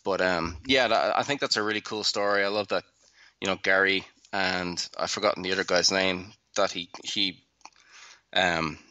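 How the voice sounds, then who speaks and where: Irish guy on a podcast, low voice but real bright and punchy, dry close sound, easygoing. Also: moderate pace, no room sound, lo-fi sound. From Ireland. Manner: relaxed, chatty, loose swearing. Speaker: podcast guest. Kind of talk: casual podcast chat.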